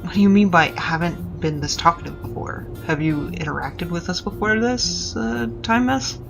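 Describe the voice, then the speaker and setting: A woman's voice: on the low side, moderate pace, vocal fry, poor audio. A woman voicing a considerate, curious disembodied voice inside a hero's head in a comic fan dub. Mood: curious, careful. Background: music.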